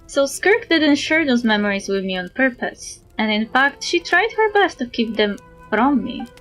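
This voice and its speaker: Girl vtuber from europe, woman with highish voice narrating her game, music in background. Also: very animated, moderate pace, a bit bright. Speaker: livestreamer, VTuber. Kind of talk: reading game dialogue aloud and chatting with viewers. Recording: music behind the voice.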